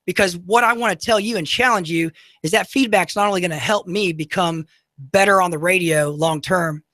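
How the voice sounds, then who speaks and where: A man in his thirties with an unusually high voice, hosting a radio talk show in an upbeat, motivational way with many fillers. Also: brisk, bright, forceful tone, dry recording, clean studio sound. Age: 30s. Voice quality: high, unusual voice often mistaken for a woman. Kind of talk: talk radio monologue with ad reads. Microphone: studio microphone.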